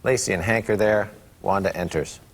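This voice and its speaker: A man's voice: fairly low pitch, normal speed, long reverb tail. A man reading script directions aloud at a table read. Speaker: stage-direction reader.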